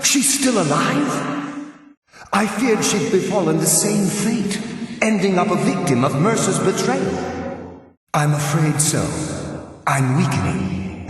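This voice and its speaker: A weary ghostly mentor of thieves speaks out of deep, distant echo. He is earnest and measured, taking his time, with lively intonation. It sounds like fantasy game dialogue. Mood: worried, fading. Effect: ghostly reverb.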